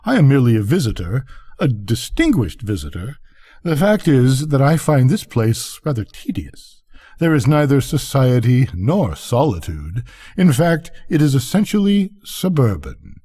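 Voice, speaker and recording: Storybook rocket, acted by a man: pompous, conceited, lively intonation, rough-edged voice. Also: mid-range voice, unhurried. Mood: haughty, disdainful. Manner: haughty, self-important declaiming. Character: pompous, conceited male firework rocket, haughty and vain. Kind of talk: storybook character reading.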